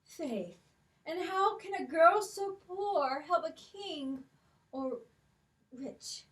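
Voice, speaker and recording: A woman's voice: extremely high pitch, animated, drawn-out words, fairly bright tone, far from the mic, heavily acted. A woman voicing Molly, a brave, clever poor young girl with a plucky, cheeky way of talking in a children's puppet show. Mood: earnest.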